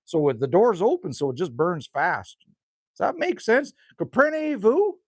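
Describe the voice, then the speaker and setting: A man's voice: sits high, very animated, unhurried, husky, no echo, clean studio sound. A man, chatting casually and rambling with viewers as a livestream host outdoors by a rural cabin. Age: 50s. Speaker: livestream host reading chat.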